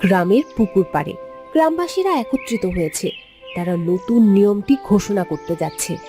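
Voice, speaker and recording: A woman's voice: medium pitch, big pitch swings, a little fast, dark tone, soft background music. Woman narrating a cartoon story.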